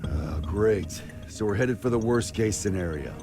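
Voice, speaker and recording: Gruff game fighter, deep voice, lively pitch swings, dry, terse. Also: male voice, a little slow, loud music behind the voice. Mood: grim, dry. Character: gruff, blunt, world-weary male fighter. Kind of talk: English game voice acting.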